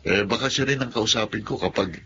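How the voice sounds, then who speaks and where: A man's voice: lowish voice, fairly quick, pushed and bright. A man voicing a playful, teasing young man hosting his birthday party in a radio drama. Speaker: Andrew, party host. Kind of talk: Tagalog radio drama acting. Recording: dull lo-fi audio with faint hiss.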